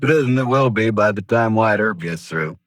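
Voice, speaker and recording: An actor playing a sickly, courtly gunfighter in a radio Western, dry and unruffled, with an American accent and a husky voice. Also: male voice, fairly low pitch, expressive, normal speed, natural voice. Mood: relaxed, friendly. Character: sickly, courtly gambler gunfighter, wryly cool and dangerous. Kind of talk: 1950s radio Western acting.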